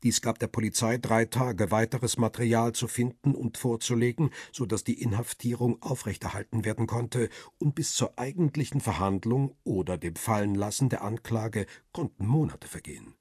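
An actor playing a wary jailed paparazzo who narrates his own radio crime drama in a husky voice. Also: medium pitch, moderate pace, hardly any pauses, darkish tone, no room sound, studio quality. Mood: tense, observant.

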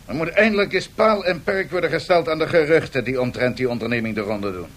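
A man's voice: on the high side, fast, a bit bright. An actor playing a brusque, authoritative male prime minister in a 1970s radio drama, curt and imperious. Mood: brusque, stern.

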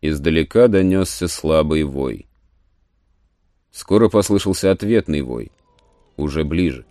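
A man narrating a literary audio play in a measured, solemn storytelling manner. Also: rumbling low, expressive, no room sound, clean studio sound. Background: soft music.

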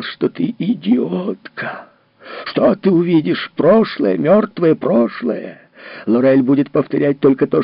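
A man's voice: a little high, gravelly, slightly rough, no echo, pitched above the performer's own voice, full character voice. A man doing a harsh scornful professor. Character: bitter harsh older male historian, husband. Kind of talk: audiobook reading. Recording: dull lo-fi recording.

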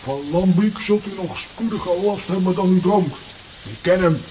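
An actor playing a mocking, disembodied stolen voice in a children's audio play, chattering and taunting. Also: male voice, high-pitched, normal speed, a little mellow, a little hiss or hum, lightly acted, poor audio.

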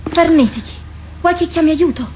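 A woman's voice: very high-pitched, normal speed, background noise. A woman playing an anxious, tender sister in a radio drama, speaking in a concerned, pleading way. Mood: puzzled, concerned. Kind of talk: theatrical radio drama acting.

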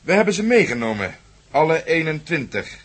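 An actor playing a self-assured, dryly amused police inspector in a Dutch radio play, knowing and teasing. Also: male voice, on the high side, big pitch swings, fairly quick, breathy edge. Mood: businesslike.

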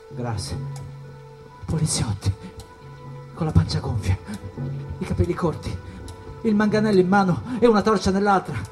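An actor playing a young, withdrawn, watchful jazz musician in a theatrical monologue, in short, halting, repeated phrases. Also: male voice, fairly high pitch. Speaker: stage actor. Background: jazz music and percussion.

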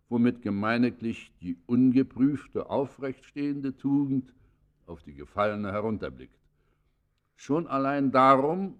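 An older actor playing a venerable, humane old judge in a classic radio play, speaking in a grave, formal, philosophizing manner. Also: male voice, mid-range voice, natural voice. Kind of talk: classic German radio play acting, literary and declaimed.

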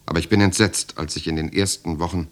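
An actor in a radio drama playing an earnest writer and secret resister, in dialogue and first-person narration. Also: male voice, deep voice, steady tone, fast talker, close to an everyday voice. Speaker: protagonist and narrator.

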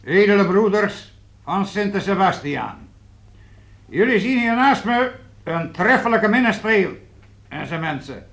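A man's voice: high voice, expressive, a little slow, fairly bright tone, full character voice. An actor playing a brash, proud medieval townsman who leads guild archers, blunt and mocking, in a radio play. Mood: rousing speech. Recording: faintly noisy old radio play recording.

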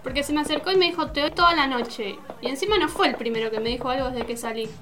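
A woman's voice: high-pitched, animated, fast talker, music in the background. A young woman with an Argentine accent playing an indignant, sarcastic student chatting colloquially in a radio drama. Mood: exasperated, indignant. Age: young adult. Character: indignant young female student venting to a friend. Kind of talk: naturalistic radio drama dialogue.

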